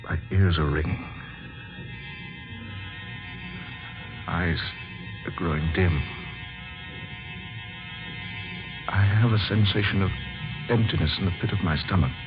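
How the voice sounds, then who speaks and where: Radio drama scientist, male actor: low voice, grave, deliberate, resolute; muffled vintage sound. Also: close to an everyday voice. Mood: strained, weakening. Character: determined male scientist shrinking himself with his potion. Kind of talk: old-time radio drama acting. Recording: dull lo-fi old radio recording, music.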